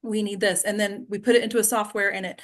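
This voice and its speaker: Woman teacher chatting thru a lesson, conversational, keeps checking in with the group, normal mid pitch. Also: level tone, fast. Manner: conversational, peppered with check-in questions like "right?". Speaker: acting class teacher and host. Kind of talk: casual teaching talk to a small group.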